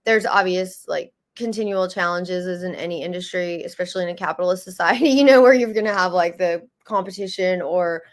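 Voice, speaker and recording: A woman's voice: on the low side, fairly quick, hardly any pauses. A woman from the United States, a magazine founder and publisher, answering interview questions in a casual, hesitant way full of fillers and restarts; she calls herself a little shy. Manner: self-described shy.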